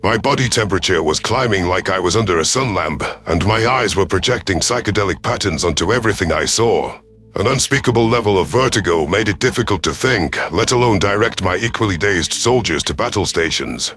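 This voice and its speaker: A man narrates a science fiction story in the first person. His voice sits on the low side, and he reads from a script as a storyteller. Soft music runs underneath. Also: expressive, brisk. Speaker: narrator of sci-fi audio stories. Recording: music behind the voice.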